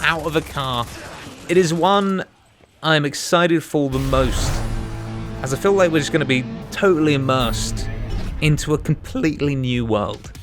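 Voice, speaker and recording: A man narrating a scripted video game countdown as a reviewer, with an emphatic presenter's delivery. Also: on the high side. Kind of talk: scripted narration of a top five games list.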